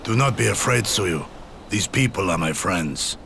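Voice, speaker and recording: An actor playing a gentle, honourable old samurai in a video game, speaking gravely and with archaic formality. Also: male voice, low-pitched, expressive, noisy background, exaggerated voice. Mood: gentle, solemn. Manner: slow, solemn, archaic formality. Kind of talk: English video game cutscene acting.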